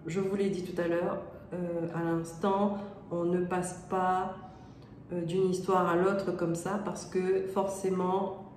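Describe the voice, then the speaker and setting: A woman reading tarot cards aloud while she has a cold, her nose stuffy. Her voice is middle-pitched and she talks in a hesitant, rambling way, often checking in with her listener. The room echoes, with faint noise behind her. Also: level tone, slow. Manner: hesitant, many fillers and rephrasings. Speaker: tarot card reader. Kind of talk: casual spoken tarot reading.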